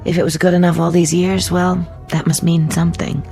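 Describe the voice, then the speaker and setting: An actress playing a gentle, faithful woman survivor who speaks softly and hesitantly in a radio drama. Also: on the low side, flat delivery, a little fast, vocal fry. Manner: soft, hesitant, quietly hopeful. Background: music score.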